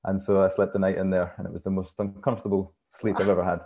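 A man's voice: low-pitched, level tone, quick pace, vocal fry, mellow. A man in his thirties casually telling travel anecdotes as a guest on a round table. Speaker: tour guide, panel guest. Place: small room at home, indoors. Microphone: earphone mic on a video call. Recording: muffled video call audio.

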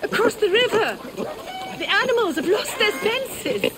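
Loud outdoor ambience sits behind a worried farm villager in a game. Her voice is high and urgent, full of unease about omens. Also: sing-song, measured pace, very noisy, heavily acted. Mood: alarmed, worried. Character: anxious village farm woman, troubled by omens. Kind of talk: video game voice acting.

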